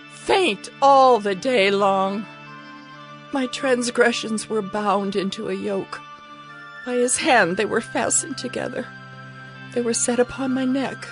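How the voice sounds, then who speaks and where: Woman acting a high-voiced, grieving, pleading ruined queen. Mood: stricken grief. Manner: slow, anguished, pleading lament. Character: Jerusalem personified, a grieving, humbled, ravaged woman. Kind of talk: dramatized scripture reading. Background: music behind the voice.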